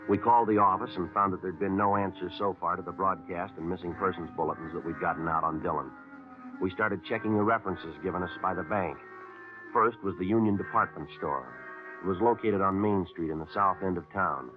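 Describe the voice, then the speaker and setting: A man plays a terse police sergeant in a 1950s radio drama, very low-pitched and rattling through his report at a quick clip. He sounds clipped and flat, with no fuss. The audio is thin and tinny, with clear music underneath. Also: close to an everyday voice. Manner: clipped, flat, matter-of-fact. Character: terse male police sergeant narrating a case. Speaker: detective narrator.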